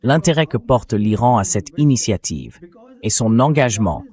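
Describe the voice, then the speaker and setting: A man's synthetic voice reading translated commentary as an interview guest, explaining points in a measured way. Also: fairly low pitch, big pitch swings, a little mellow. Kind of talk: translated political commentary voice-over read by synthetic voice.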